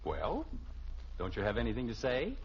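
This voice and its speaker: Exasperated, animated radio sitcom dad. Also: male voice, medium pitch, normal speed. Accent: American. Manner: exasperated, flustered, wry. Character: exasperated middle-class suburban father, easily put upon. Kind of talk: 1950s radio sitcom acting. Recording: dull, hissy old radio recording.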